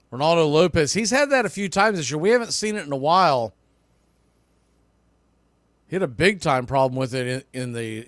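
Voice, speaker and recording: Bright-voiced man calling baseball. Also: mid-range voice, dry recording. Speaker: baseball play-by-play announcer. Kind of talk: live sports commentary with casual asides.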